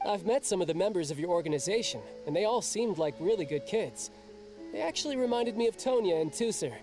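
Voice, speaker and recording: A cocky, teasing young warrior. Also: male voice, sits high, expressive, fairly quick, over music. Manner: playful, teasing, wry. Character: cocky young male warrior, protective of kids. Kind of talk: game dialogue.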